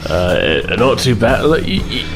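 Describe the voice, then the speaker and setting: Lower-voiced man chatting over a tabletop game. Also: very animated, measured pace. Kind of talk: casual game chat. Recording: music playing under the voice.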